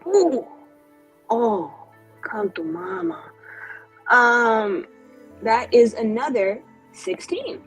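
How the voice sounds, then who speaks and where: A woman's voice: on the high side, big pitch swings, extremely slow, pauses often, breathy edge, faint music behind, poor audio. A woman playing a tabletop game, chatting casually and playfully at the table.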